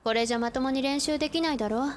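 An actress playing a stern, tomboyish high-school girl in a radio drama, rough-spoken and direct. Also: racing, noisy background, natural voice. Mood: fed up. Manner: rough, masculine phrasing, direct. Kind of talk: Japanese anime-style radio drama acting.

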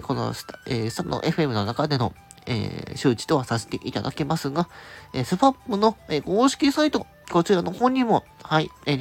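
Gravelly, animated host over music. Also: mid-range voice. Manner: chatty and polite, addressing listeners directly. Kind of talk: casual announcement monologue. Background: background music.

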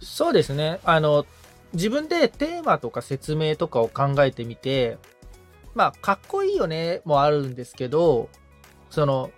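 A man's voice: fairly high pitch, wildly swooping pitch, unhurried, faint music behind. A man assisting on a podcast, talking casually but politely and thinking aloud as he answers. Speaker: podcast assistant, guitar repairman.

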